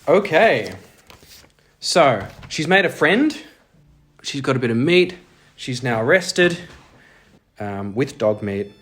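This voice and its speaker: A man's voice: mid-range voice, sing-song, takes it slowly, long pauses, fairly bright tone. A man thinking aloud, unscripted, as he plays a tabletop game alone, musing to himself. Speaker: solo roleplayer.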